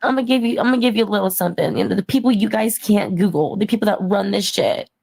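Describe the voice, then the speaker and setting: A woman's voice: mid-range voice, fast talker, vocal fry, a little mellow, slightly rough. A tearful woman in an emotional livestream rant, agitated and pleading with her viewers.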